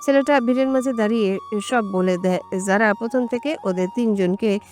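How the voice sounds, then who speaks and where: A woman's voice: a little high, moderate pace, a little mellow, faint music behind. A woman narrating a romantic audio story from a script.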